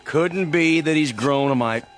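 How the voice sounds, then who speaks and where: An actor playing a calm, dryly skeptical small-town doctor in a radio drama. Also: male voice, fairly high pitch, unhurried, soft background music, close to an everyday voice.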